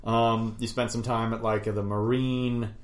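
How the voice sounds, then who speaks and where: Brief: male game master, mid-pitched and ordinary, rambling, self-mocking storyteller on a podcast. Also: little pitch movement, measured pace. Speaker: game master recapping a past campaign. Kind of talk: casual podcast chat.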